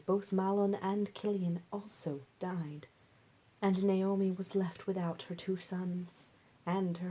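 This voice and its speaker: A woman reading a Bible story aloud as scripted narration, in measured, deliberate phrases. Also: fairly low pitch, dark tone, breathy edge. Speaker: reader.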